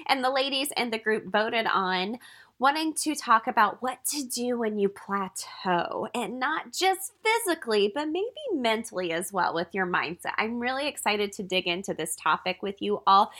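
A woman's voice: mid-range voice, pushed and bright. Stuffy-nosed chatty woman podcast host. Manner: chatty, upbeat, laughs between phrases. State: stuffy nose from allergies. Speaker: podcast host and health coach. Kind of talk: casual solo podcast talk.

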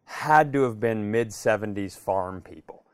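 Middle-aged american guy telling funny stories, clean smooth voice, really dry close sound, emphatic. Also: on the low side, lively intonation, a little slow, dry recording. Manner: emphatic, repeats phrases, acts out quotes. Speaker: podcast co-host. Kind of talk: animated comedic storytelling in casual chat.

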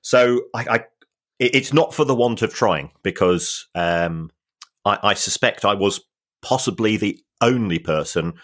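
A man co-hosting a football finance podcast, explaining things in a halting way with many restarts and dry humour. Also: fairly low pitch, fairly bright tone. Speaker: football finance expert co-host. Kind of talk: casual podcast discussion.

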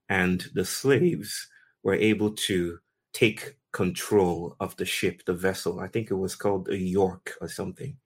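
A man from Nigeria hosting a conversation, telling a story in slow, deliberate, poetic phrases with pauses. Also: low voice. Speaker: host. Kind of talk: reflective storytelling talk.

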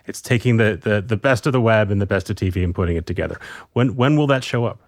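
A man's voice: on the low side, fast, no echo, clean studio sound. A man interviewing a guest with skeptical, conversational questions.

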